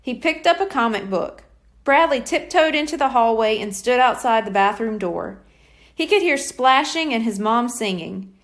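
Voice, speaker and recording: Female children's audiobook narrator, high-pitched, expressive and very lively. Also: normal speed. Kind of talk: scripted storybook narration.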